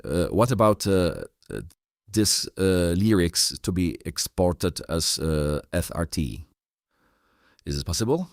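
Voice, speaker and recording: Male host, very low voice in a dry recording, stop-start and repetitive delivery. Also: sing-song, creaky, no echo. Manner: halting, repetitive, insistent. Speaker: podcast host. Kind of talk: casual interview chat.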